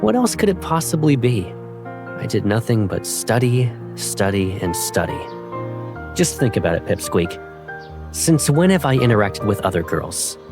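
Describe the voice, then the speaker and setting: A man's voice: mid-range voice, very animated, normal speed. A man playing an easygoing, teasing young man in a fan dub of an otome game. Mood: playful. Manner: playful, teasing. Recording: voice over guitar music.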